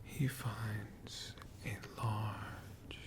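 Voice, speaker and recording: An ASMR storyteller whispering a tale in a hushed, lingering manner, pausing and repeating words. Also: medium pitch, level tone. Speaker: ASMR storyteller, channel host.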